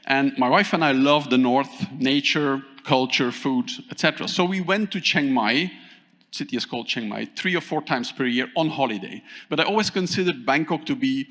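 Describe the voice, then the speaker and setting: Man giving a keynote in a reverberant hall. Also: mid-range voice. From Thailand. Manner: animated, asks the audience questions, pauses often. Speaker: keynote speaker. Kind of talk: conference keynote talk. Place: large conference hall.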